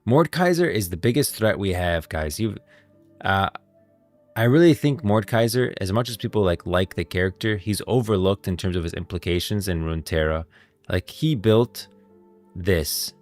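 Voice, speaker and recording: A man's voice: sits low, animated, normal speed, faint music behind. A man giving casual, opinionated live-stream commentary, thinking aloud with emphasis.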